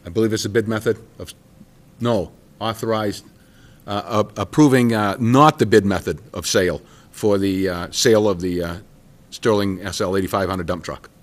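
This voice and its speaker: Hesitant lower-voiced councilman. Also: steady tone. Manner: hesitant, halting, many fillers. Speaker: town councilor. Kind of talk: making a motion at a council meeting.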